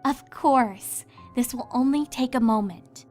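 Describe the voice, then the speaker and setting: A woman's voice: a little high, measured pace, faint music behind, natural voice. A woman voicing a composed, reassuring young unicorn pony in a dramatic fan fiction reading. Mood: calm reassurance.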